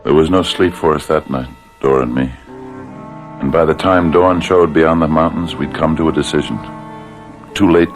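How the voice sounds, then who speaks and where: An actor playing a desperate, worn-down, sickly American husband in an old-time radio drama, plain-spoken and anguished. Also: male voice, extremely low pitch. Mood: weary, narrating. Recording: noisy vintage radio drama recording.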